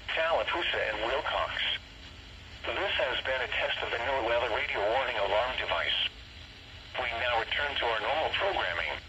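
Male weather radio announcer, formal scripted read, mid-pitched, very noisy playback from a small radio speaker. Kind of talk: scripted weather bulletin reading. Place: played through a small weather radio indoors. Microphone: camera near the radio speaker.

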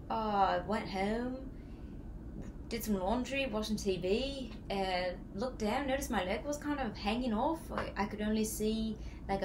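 A young woman playing an unfazed, dogged surfer in a comic monologue, speaking in a halting, understated deadpan. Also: mid-range voice, close to an everyday voice. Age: young adult. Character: unfazed, dogged Australian surfer who lost a foot. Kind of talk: comic character monologue for an acting reel. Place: plain-walled indoor room. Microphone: camera mic a few feet away. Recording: echoey room, clear background noise.

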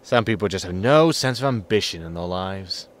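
A man playing a weary, dry-witted spaceship captain in a sci-fi comedy audio drama, deadpan in manner. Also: deep voice, moderate pace, heavy background noise. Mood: wry.

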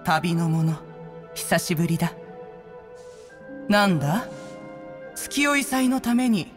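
An actress playing a proud, imperious immortal bird in a video game, speaking in an archaic, grandiose, haughty way. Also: female voice, lowish voice, lively intonation, takes it slowly. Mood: proud, stately. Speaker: game character voice actor. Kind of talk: Japanese game dialogue acting. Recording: long hall-like reverb, music underneath.

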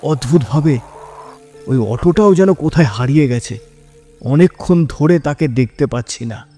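Man, horror story narrator, dramatic first person, suspenseful, fairly high voice. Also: lively intonation, normal speed, warm tone. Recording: music behind the voice.

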